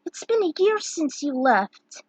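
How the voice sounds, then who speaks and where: A woman's voice: high voice, sing-song, measured pace, no room sound, pitched above the performer's own voice, low-quality recording. A woman voicing an affectionate, indignant young princess, exclaiming emphatically in a story. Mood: astonished.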